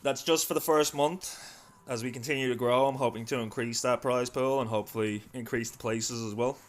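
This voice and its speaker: A man's voice: medium pitch, fairly even intonation, fairly quick. An Irish man hosting a livestream, giving chatty live game commentary and talking directly to his viewers. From Ireland. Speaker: livestream host and esports caster.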